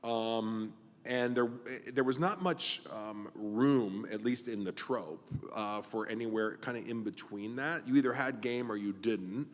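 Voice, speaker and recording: A man's voice: fairly low pitch, measured pace, big-room sound. A man in his fifties, a pastor hosting a casual podcast chat, talking loosely with lots of fillers. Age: 50s.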